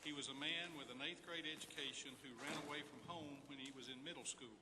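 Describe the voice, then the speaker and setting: A man's voice: on the high side, fairly quick. A man reading scripted narration aloud as narrator at a public event in a large hall. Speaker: narrator at a readers theater event. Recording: echoey hall, clear background noise.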